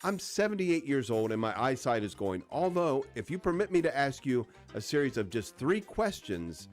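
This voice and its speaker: A man's voice: medium pitch, sing-song, moderate pace, soft background music, natural voice. A man voicing a confident, courtly old man of seventy-eight in a joke told on the radio.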